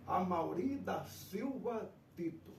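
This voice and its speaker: Formal Northeastern Brazilian pastor, gravelly voice. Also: male voice, high voice, expressive, slow, darkish tone, far from the mic. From Pernambuco, Brazil. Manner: ceremonious, polite, addresses guest formally. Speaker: pastor and interviewer. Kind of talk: formal church-style interview. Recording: echoey room from afar with faint noise.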